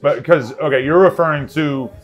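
A man's voice: fairly high pitch, moderate pace, poor audio. Rambling American man, informal podcast host. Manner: informal, rambling, profane phrasing. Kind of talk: casual interview questioning. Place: seated at table before branded backdrop, indoors.

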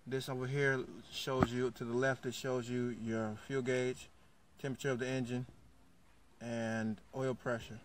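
Man touring a car, flat-voiced. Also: medium pitch, slight background noise. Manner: flat, unhurried, reads specs aloud with fillers. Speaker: car vlogger. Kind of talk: casual walkaround commentary. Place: car showroom, indoors.

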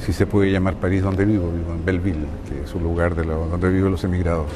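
An older man from Chile with a Chilean accent, answering interview questions in a hesitant way and often pausing to search for words. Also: low-pitched, flat delivery. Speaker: interviewee, filmmaker. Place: outdoor balcony above a city. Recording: outdoor city noise behind.

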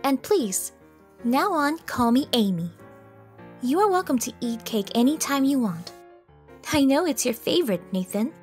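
A woman's voice: high voice, big pitch swings, a little slow, a little mellow, faint music behind. A woman voicing a sweet, bubbly young female hedgehog in a cartoon comic dub, cheerful and affectionate. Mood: warm, kind.

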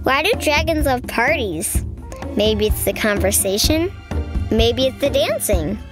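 A very high-pitched, youthful female voice reads a picture book aloud for children. She takes her time with each line and delivers it expressively. Music plays clearly behind her. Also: measured pace. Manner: expressive, addressing young listeners. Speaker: children's storytime reader.

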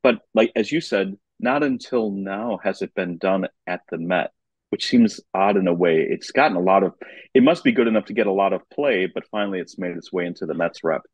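A man's voice: low voice, a little fast, no room sound, dull audio. An older man, a retired voice professor, chatting casually as an interview guest.

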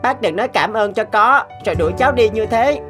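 Nine-year-old boy, polite yet reproachful, fairly high voice, cartoon dub, faint music. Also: expressive, brisk, a bit bright. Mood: earnest, instructive. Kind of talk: animated moral tale dubbing.

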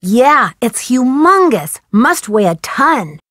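A woman's voice: a little high, sing-song, slow pace, a bit bright, no echo, studio quality, exaggerated voice. A woman playing a keen, composed American girl detective in a video game, earnest and self-assured in phone dialogue. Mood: informative, interested. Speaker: voice actor.

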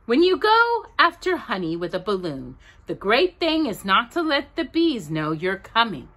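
Woman reading as bumbling gentle male bear, storybook, slow, wondering. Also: on the high side, wildly swooping pitch, voice pushed up, full character voice. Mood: earnest.

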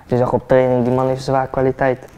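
An eighteen-year-old man, a football player, chatting relaxed and hesitant with many fillers while getting a haircut in a barbershop. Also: medium pitch, fast talker, smooth. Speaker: football player, interview guest. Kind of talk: casual interview chat. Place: barbershop, indoors, seated in barber chair.

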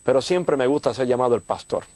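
An older man from Cuba, a church leader, speaking warmly and gratefully in a television studio interview. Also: medium pitch, lively intonation, fast talker, no room sound. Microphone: lapel.

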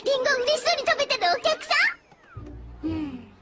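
A woman's voice: very high voice, sing-song, a little fast, over loud music. A woman voicing an eager, impatient little girl pony in a cartoon dub, with childlike, pleading speech. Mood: earnest, pleading.

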